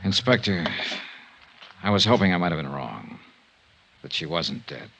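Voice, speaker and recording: An American actor playing a hard-driven, grieving investigator in a 1950s radio drama, with terse, intense delivery. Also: male voice, low-pitched, sing-song, normal speed, gravelly, pushed and bright, slight background noise, exaggerated voice. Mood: bitter, subdued. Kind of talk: 1950s radio detective acting with first-person narration. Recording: vintage radio drama with music behind.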